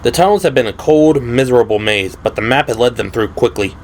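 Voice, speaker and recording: A man's voice: mid-range voice, fairly quick, darkish tone, a little hiss or hum. A man reading dramatic, scripted horror narration for an audio drama, with music and effects behind him. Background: music and sound effects.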